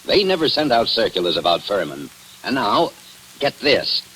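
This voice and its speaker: An actor in an old radio drama playing a crabby, suspicious district attorney with a testy, accusing manner. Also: male voice, on the low side, sing-song, moderate pace, pushed and bright, rough recording. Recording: hissy vintage radio broadcast recording.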